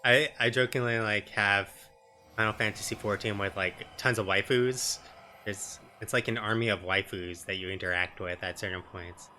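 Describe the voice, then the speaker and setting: Bright, forceful man commentating a game. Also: on the low side, steady tone, moderate pace, a little hiss or hum. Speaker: let's play streamer.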